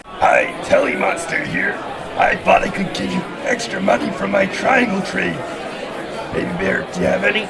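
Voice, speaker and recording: A goofy puppet monster, high for a male and extremely gravelly. He is heard from a distance over a loud audience in a live radio play. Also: steady tone, normal speed, over a noisy crowd. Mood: friendly, offering.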